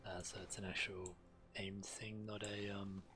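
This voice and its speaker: A man's voice: lowish voice, flat delivery, a little slow, background noise. A man streaming a video game, commenting on play and reading quest text aloud.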